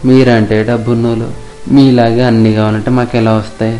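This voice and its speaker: A man's voice: mid-range voice, level tone, brisk, dark tone, lightly acted. A man playing a poor, deferential village labourer in a moral story, humble and plaintive, with colloquial rural Telugu speech. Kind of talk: moral story audio drama. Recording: music behind the voice.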